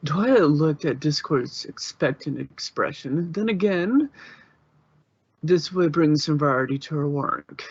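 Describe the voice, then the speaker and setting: A man's voice: on the high side, animated, measured pace, husky, no echo, muffled recording. A man giving an informal narration of a fanfiction story.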